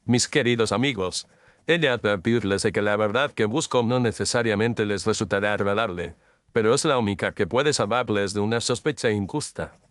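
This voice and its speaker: Low-voiced, incisive Victorian detective, acted by a man. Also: no room sound, natural voice. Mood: probing, assured. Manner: formal, deliberate, explanatory. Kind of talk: Spanish audio drama mystery acting.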